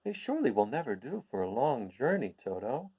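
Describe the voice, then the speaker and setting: Male reader as little farm girl, curious, earnest, storybook, swooping soft tone, slightly muffled recording. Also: medium pitch, very animated, fairly quick, slightly rough.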